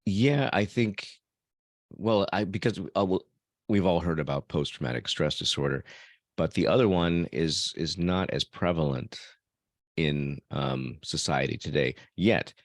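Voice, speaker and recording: A man's voice: deep voice, a little slow, no room sound, clean studio sound. A man from El Salvador, a podcast host being interviewed, answering in a reflective way with some fillers. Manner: reflective, thinks aloud with fillers. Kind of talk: casual interview conversation.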